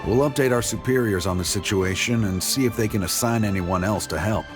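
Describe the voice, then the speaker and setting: An actor playing a steady, dutiful guard in a video game, plain and matter-of-fact. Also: male voice, on the low side, fairly quick, close to an everyday voice. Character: steady, dutiful low-voiced male guard. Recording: faint music behind the voice.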